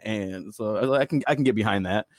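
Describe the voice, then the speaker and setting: A man's voice: lowish voice, brisk, dry recording, studio quality. A man chatting casually in an easygoing, wry way, with fillers. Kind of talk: casual conversation.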